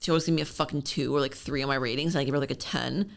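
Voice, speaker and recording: A woman in her early twenties with a deep, gravelly voice. She co-hosts a casual podcast, speaking bluntly with frequent swearing and lots of "like.". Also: fairly even intonation, rapid-fire. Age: 20s. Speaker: podcast co-host. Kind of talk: casual chat.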